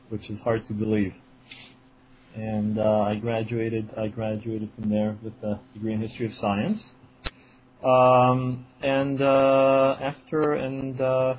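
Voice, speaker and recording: A man in his forties from Israel with a slight cold, speaking at a middle pitch. He answers in a halting way, full of ums and restarts. The recording sounds dull and lo-fi, with a faint hiss behind him. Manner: hesitant, many fillers and restarts. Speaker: guest, writer and editor. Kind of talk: interview answers.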